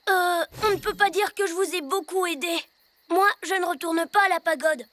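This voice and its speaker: A woman's voice: very high voice, normal speed. A woman voicing an earnest, easily worried six-year-old superhero boy in a cartoon, plaintive and exclamatory. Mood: dejected. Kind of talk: French cartoon dub. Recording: loud music behind the voice.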